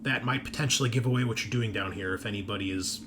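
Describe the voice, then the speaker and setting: A man narrating a tabletop roleplaying game as game master, in a conversational, halting way with emphatic swells. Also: fairly low pitch. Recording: clear background noise.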